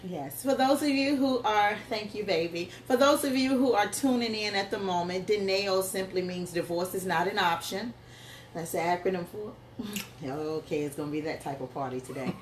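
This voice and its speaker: A woman's voice: medium pitch, normal speed. A woman co-hosting a casual chat show with her husband, playful and laughing often. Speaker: co-host. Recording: echoey room with faint noise.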